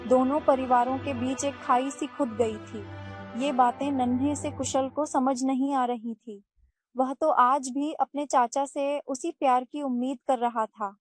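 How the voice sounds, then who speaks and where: A woman narrating a cartoon story in a steady, storytelling manner. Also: high-pitched.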